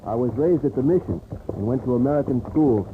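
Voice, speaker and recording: A man's voice: medium pitch, expressive, a little fast, lightly acted. Earnest western helper played by a man, hissy radio. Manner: plain, careful, respectful. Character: earnest, soft-mannered young Navajo mission-raised helper. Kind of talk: old-time radio western acting. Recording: very noisy, narrow-band old radio recording.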